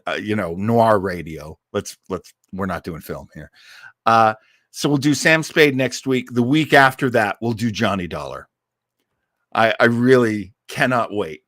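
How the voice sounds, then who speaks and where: Animated man hosting, in a roomy echo. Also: medium pitch, studio quality. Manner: enthusiastic, effusive. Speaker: host of a live radio-mystery listening show. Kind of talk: casual livestream chat with listeners.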